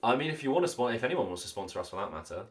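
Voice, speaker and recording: A man's voice: mid-range voice, animated, very fast. A man chatting casually as a podcast host. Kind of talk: casual chat. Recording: echoey room, faint background noise.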